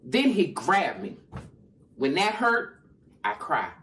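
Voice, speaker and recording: A woman playing a frightened, wounded fourteen-year-old girl in a stage monologue, halting and plain-spoken. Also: low-pitched, big pitch swings, a bit bright, exaggerated voice. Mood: pained. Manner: halting, plain-spoken, Southern rural phrasing. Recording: clear background noise.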